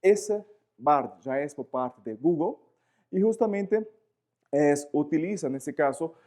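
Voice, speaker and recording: A man whose first language is Portuguese, speaking Spanish with a Portuguese accent as he gives a live lecture, animated, often searching for words and asking rhetorical questions. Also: fairly high pitch, slow pace.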